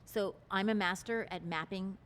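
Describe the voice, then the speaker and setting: A woman's voice: medium pitch, moderate pace, fairly bright tone, a little hiss or hum. A woman guest in an interview, talking about relationships in an articulate, expansive and earnest way. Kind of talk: interview conversation.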